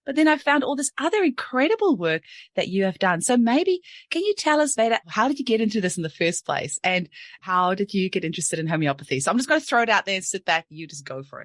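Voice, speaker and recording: A woman's voice: mid-range voice, big pitch swings, fast, no echo. Chatty New Zealand woman host, dry sound. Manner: enthusiastic, chatty, laughs easily. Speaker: podcast host. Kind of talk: casual interview chat.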